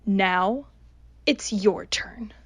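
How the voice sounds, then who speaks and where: A woman's voice: mid-range voice, drawn-out words, husky, no room sound, studio quality, heavily acted. A woman playing the vengeful ghost of a young woman in a horror radio drama, accusing and menacing. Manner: accusing, menacing, hushed at times.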